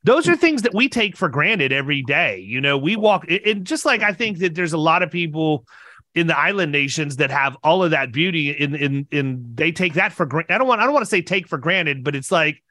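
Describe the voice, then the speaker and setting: A man's voice: a little high, expressive, a little fast, bright, forceful tone, no room sound, studio quality. A middle-aged man hosting a podcast and chatting casually as he interviews a guest. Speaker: podcast host.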